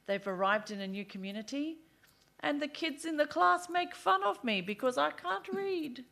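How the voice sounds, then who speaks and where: A woman's voice: on the high side, gravelly, fairly bright tone. A middle-aged woman speaking earnestly and emphatically at a seminar, sometimes voicing children in first person. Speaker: aid organization speaker. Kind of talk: seminar speech. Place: parliament seminar room.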